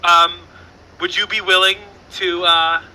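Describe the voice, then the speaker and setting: A Southern man hosting a relaxed trivia show, his voice sitting fairly high and ringing very bright. He rambles playfully, stumbling over fillers and restarting his sentences. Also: a little slow, clear, resonant tone, rough recording. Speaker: trivia host. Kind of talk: casual online trivia show.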